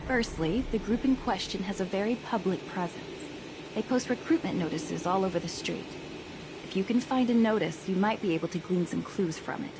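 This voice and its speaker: A woman's voice: mid-range voice, fairly quick, background noise, close to an everyday voice. A woman playing a wary, street-wise young underworld informant in a video game, guarded and matter-of-fact. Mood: confiding.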